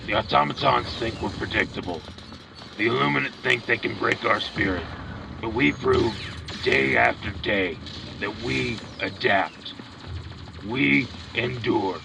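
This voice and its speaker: A man playing a rousing, patriotic wartime radio commentator in a scripted broadcast, with a gravelly voice and emphatic delivery. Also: medium pitch, a little slow. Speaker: radio host. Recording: clear vehicle and machinery noise behind.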